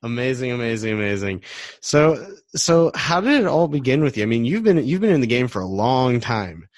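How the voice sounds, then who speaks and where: A man's voice: mid-range voice, sing-song, fairly quick, dry recording, studio quality. A man hosting a podcast in casual, effusive interview chat, often repeating himself. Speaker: podcast host.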